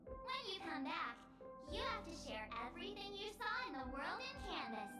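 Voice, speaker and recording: A woman's voice: very high voice, very animated, normal speed, echoey room, distant mic, loud music behind the voice. A woman voicing a perky, playful teenage girl adventurer in a video game, bouncy and mock-proud. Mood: upbeat.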